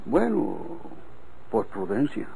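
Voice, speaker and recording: A man's voice: on the low side, huge pitch swings, slow pace, vocal fry, warm tone, a little hiss or hum, heavily acted, poor audio. An actor playing a reasonable, conciliatory rural man with a Mexican accent in an old radio drama. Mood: explaining.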